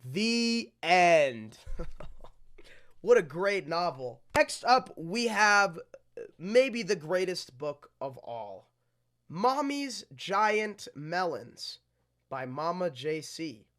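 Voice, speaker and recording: A person reading picture books aloud in a theatrical way, dropping into muttered deadpan asides. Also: medium pitch, extremely slow. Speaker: storybook reader.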